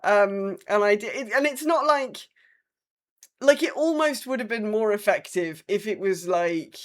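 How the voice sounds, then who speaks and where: A woman's voice: mid-range voice, expressive, a little slow. A woman co-hosting a podcast in casual chat, musing aloud with plenty of hedging and mild bemusement. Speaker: podcast co-host. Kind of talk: casual podcast chat about a video game.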